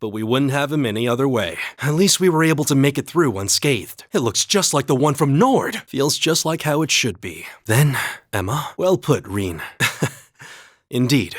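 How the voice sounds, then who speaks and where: A man voicing a calm, earnest young highland warrior in a video game, with measured, slightly formal phrasing. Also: no room sound, studio quality, natural voice. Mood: earnest, composed. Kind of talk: English video game voice acting.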